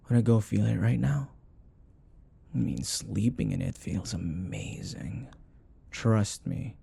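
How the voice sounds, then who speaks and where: A man plays a rich, possessive older boyfriend in an intimate audio roleplay. His voice is lowish and dark, with a gravelly creak. He murmurs hesitantly and coaxingly, doting on his listener. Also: heavily acted. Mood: coaxing, tender.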